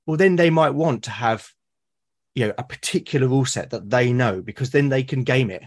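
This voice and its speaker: A British man speaking as a podcast guest in casual conversation, haltingly and often repeating words as he thinks aloud. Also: mid-range voice. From Britain. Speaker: podcast guest, solo roleplaying gamer.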